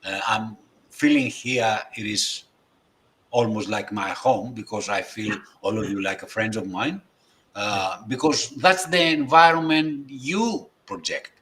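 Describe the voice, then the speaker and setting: Hesitant Greek-accented man, low voice. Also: a little slow, pushed and bright. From Greece. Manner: hesitant, apologetic, many fillers and restarts. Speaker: guest presenter. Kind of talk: casual livestream chat.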